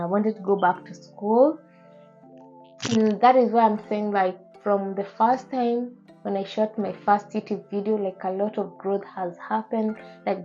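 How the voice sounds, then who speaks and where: Kenyan girl in her 20s doing a chatty vlog, soft mellow voice, earnest, bit of music behind her. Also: medium pitch. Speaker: beauty and lifestyle vlogger. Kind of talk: casual chat to camera.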